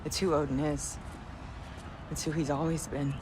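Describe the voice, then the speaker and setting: A middle-aged actress playing a proud, grieving Norse goddess and mother in a video game, intense and measured, with music behind her. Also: deep voice, little pitch movement, noisy background, natural voice. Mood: solemn, resolute. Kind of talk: dramatic video game acting.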